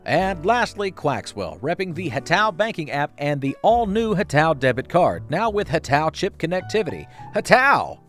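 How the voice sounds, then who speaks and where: A man narrates an online video in a fairly high, very animated voice. His delivery is scripted but playful, full of wry and self-deprecating asides. Soft music plays underneath. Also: normal speed, few pauses. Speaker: YouTube video essay narrator.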